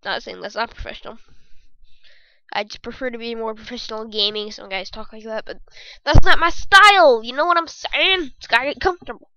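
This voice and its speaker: A young woman with a squeaky voice hosting a casual gaming podcast from her bedroom, rambling and joking as she talks. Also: fairly quick, pushed and bright, dull audio. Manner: rambling, jokey, self-correcting. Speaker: podcast host.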